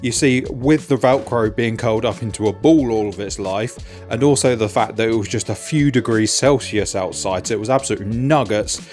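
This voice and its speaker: A man's voice: fairly low pitch, a little mellow. A British man giving a casual voice-over narration for a vlog. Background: music.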